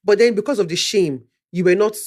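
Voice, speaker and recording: Expressive woman hosting. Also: medium pitch, a little fast. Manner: expressive, reacts often with murmurs, preachy asides. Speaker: host. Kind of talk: faith-based interview.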